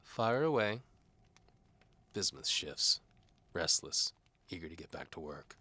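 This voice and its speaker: Male narrator, very low pitch, bright tense edge, dry hard-boiled delivery, scripted audio drama. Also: steady tone, a little slow, breathy edge, no echo. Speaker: narrator and performer. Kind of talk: scripted first-person noir-style audio drama narration.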